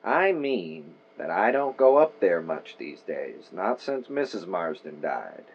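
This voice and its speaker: Man playing old small-town shopkeeper, audio drama, folksy, wry, very animated intonation, slightly dull recording. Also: deep voice, slow pace, husky, heavily acted. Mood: grave, wistful. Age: older.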